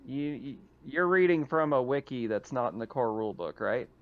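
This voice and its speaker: A man's voice: mid-range voice, expressive, moderate pace. A man acting as game master, narrating and explaining rules in a tabletop roleplaying session. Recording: dull, lo-fi voice audio.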